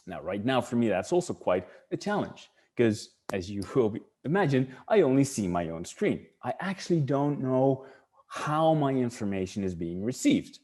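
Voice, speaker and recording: A man's voice: mid-range voice, sing-song, normal speed, darkish tone. A middle-aged man from the Netherlands with a Dutch accent, presenting an online webinar and thinking aloud, often restarting and repeating words.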